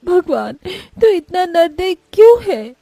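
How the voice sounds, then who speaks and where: A woman's voice: very high voice, very animated, measured pace, gravelly, mellow, no room sound, voice pushed up, exaggerated voice. A woman voicing a poor, devout young village mother in a cartoon story, emotional in manner. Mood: despairing.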